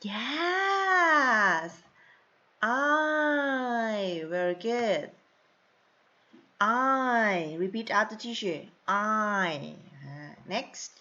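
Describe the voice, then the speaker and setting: A woman teaches beginners, stretching every word very slowly. Her voice sits a little high, and she drills each phrase in a sing-song way. The recording sounds dull and lo-fi. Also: lively intonation. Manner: slow, exaggerated drilling with sing-song prompts and soft asides. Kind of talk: slow repeat-after-me classroom lesson.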